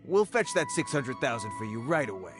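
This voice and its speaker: A swaggering bandit leader from a video game, voiced by a man, who talks big. His mid-pitched voice moves up and down with lively emphasis. He is quick to turn nervously polite. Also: over music, natural voice. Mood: servile. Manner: cocky, then nervously polite. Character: brash male bandit leader, turns servile.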